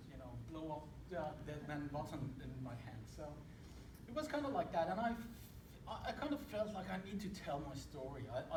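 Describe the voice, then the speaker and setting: Iranian-accented man presenting in a hall. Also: high voice. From Iran. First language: Persian. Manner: hesitant, many fillers and restarts. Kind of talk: casual talk to an audience. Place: hall with audience. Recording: echoey room, distant mic, noisy.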